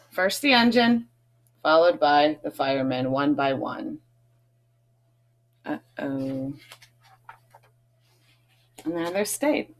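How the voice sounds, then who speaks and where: A woman reading a picture book aloud to children, stressing key words expressively and adding chatty, questioning asides. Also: lowish voice. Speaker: read-aloud host, teacher reading to children.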